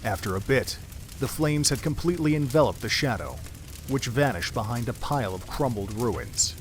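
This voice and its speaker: A man's voice: mid-range voice, lively intonation, moderate pace, slight background noise. A man giving solemn, literary scripted narration for an audio drama.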